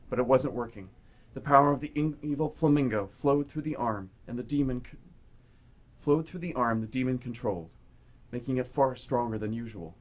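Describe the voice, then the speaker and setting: A man reading scripted, dramatic story narration for a radio play. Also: medium pitch.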